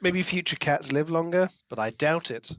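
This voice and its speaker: A man's voice: on the high side, expressive, moderate pace, a bit bright, no echo. A man co-hosting a podcast, chatting about books informally and with enthusiasm. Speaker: podcast co-host. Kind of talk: casual book discussion.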